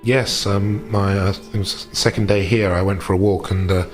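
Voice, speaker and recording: A man playing a reserved, polite gentleman investigator in a tabletop roleplay, speaking formally and hesitantly. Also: low-pitched, little pitch movement, faint music behind, lightly acted. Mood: measured, tactful. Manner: hesitant, measured, formal period phrasing.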